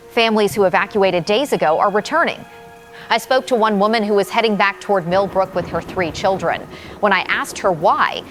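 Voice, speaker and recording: A woman acts out a female reporter covering an apocalyptic evacuation. Her medium-pitched voice is serious and articulate, with an uneasy edge. Light music plays under her audio drama report. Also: natural voice.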